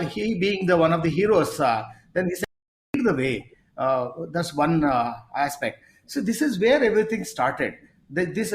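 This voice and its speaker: A man with an Indian English accent, hosting a sports talk show and speaking haltingly with many fillers. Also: on the high side. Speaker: talk show host, sports psychology coach. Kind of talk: conversational interview talk. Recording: roomy echo.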